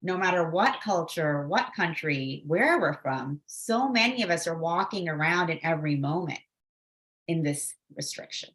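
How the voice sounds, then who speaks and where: A woman's voice: deep voice, expressive, moderate pace, a bit bright. A woman from Bangladesh speaking reflectively on a panel, with many "you know" fillers. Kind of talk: casual panel discussion.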